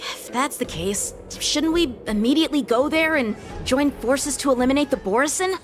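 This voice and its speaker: A woman's voice: high voice, animated, moderate pace, music in the background. A woman voicing an earnest, bold young swordswoman in a video game, a forthright subordinate to her general. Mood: indignant. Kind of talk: dramatic video game voice acting.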